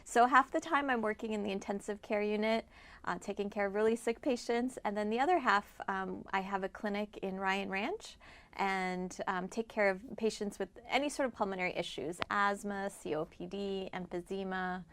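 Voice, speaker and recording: Interview guest: woman, middle-aged, Midwest-raised, medium pitch, conversational explainer. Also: moderate pace, a bit bright. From born in Afghanistan, grew up in rural Iowa. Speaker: interview guest, doctor and nonprofit member.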